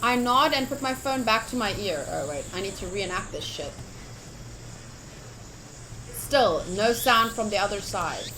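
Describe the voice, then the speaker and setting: A woman streaming a game playthrough, chatting casually and reading the text aloud in an expressive, enthusiastic way. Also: medium pitch, unhurried, pauses often, background noise. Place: livestream setup.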